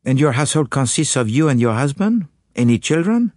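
A man's voice: medium pitch, big pitch swings, fairly quick, a little mellow, no echo, clean studio sound, voice pushed up, lightly acted. A man reading an audiobook, voicing a fussy, courteous foreign male detective who speaks precisely and drops French phrases. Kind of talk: audiobook character voice.

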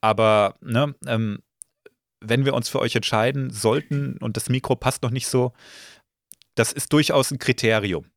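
A slightly hoarse man hosting a casual podcast, relaxed and full of filler sounds. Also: medium pitch, unhurried. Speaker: podcast host.

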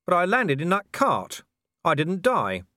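A man's voice: fairly high pitch, very animated, normal speed, a bit bright, no room sound, clean studio sound, voice pushed up. A man voicing a beleaguered, sardonic hero in an audiobook, sarcastic and incredulous. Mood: protesting. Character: beleaguered, sardonic male hero, a titled nobleman.

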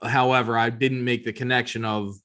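A man's voice: medium pitch, level tone, brisk, pushed and bright. Casual male podcast co-host, informal and opinionated. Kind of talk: casual chat.

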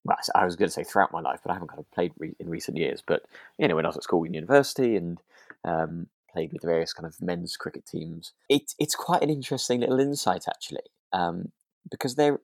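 Low-voiced man chatting in a dry room. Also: animated, fast, dry recording. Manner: hesitant, rambling, tentative phrasing. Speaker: podcast co-host. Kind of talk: casual discussion of a radio drama.

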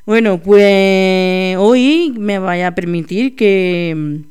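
A woman's voice: mid-range voice, very slow, a little mellow, smooth. A woman hosting a local radio show in a chatty, laughing, self-deprecating way. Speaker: radio host. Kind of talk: casual local radio chat.

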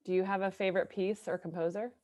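A woman's voice: mid-range voice, flat delivery, fast, dark tone, dull audio. A woman hosting a podcast interview, upbeat and encouraging toward her guests. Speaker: podcast host.

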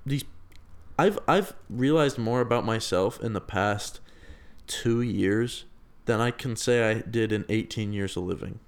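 A twenty-year-old man co-hosting a podcast, talking earnestly in casual chat and getting louder when he makes a point. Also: on the low side, unhurried, smooth. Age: 20s. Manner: earnest, rising loud when making a point. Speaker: podcast co-host.

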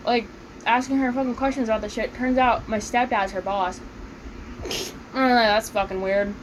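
A woman's voice: fairly high pitch, steady tone, moderate pace, slight background noise, low-quality recording. A young woman co-hosting a casual podcast with friends, telling stories with constant swearing and laughter. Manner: heavy swearing, laughing storyteller. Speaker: podcast co-host. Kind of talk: casual chat among friends.

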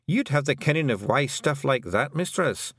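A man's voice: mid-range voice, animated, normal speed, fairly bright tone, breathy edge, no room sound, studio quality, pitched above the performer's own voice. A man voicing a tiny, fierce male pixie clan chief with a Scottish accent and a brash, wheedling manner. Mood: cheeky.

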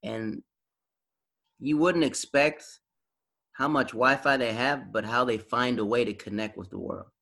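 A man from the United States, a podcast guest chatting casually and telling relaxed stories, acting out the reactions he quotes. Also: mid-range voice, a little slow, creaky, pauses often. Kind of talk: casual conversational interview.

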